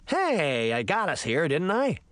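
A man voicing a cocky, mischievous, excitable boy with a brash, gleeful manner. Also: fairly high pitch, measured pace, no room sound, exaggerated voice. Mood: indignant.

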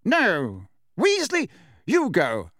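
Man acting a pompous older male minister, audiobook character, lofty, impatient, barking, raised swooping pitch. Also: huge pitch swings, unhurried, a bit bright, dry recording, studio quality, heavily acted. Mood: snappish.

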